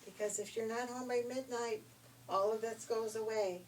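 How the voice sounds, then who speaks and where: A woman's voice: on the high side, fairly even intonation, moderate pace, close to an everyday voice. A woman voicing a kindly, reassuring fairy godmother in a storybook tale, giving instructions. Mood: firm warning. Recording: distant mic with faint noise.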